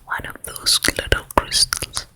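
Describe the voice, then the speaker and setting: A wicked storybook queen, played by a woman, speaks in a slow, breathy whisper. She is vain and jealous, measuring out each phrase with quiet menace in an ASMR fairy-tale roleplay. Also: lightly acted. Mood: smug, scheming. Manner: hushed, slow, deliberate, menacing.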